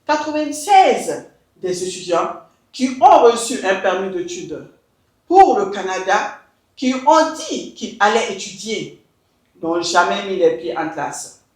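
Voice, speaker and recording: A woman's voice: medium pitch, sing-song, a little mellow. Emphatic woman in an echoey room. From Mali. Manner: emphatic, deliberate, switches into English. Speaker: education consultant. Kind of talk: scripted address to camera.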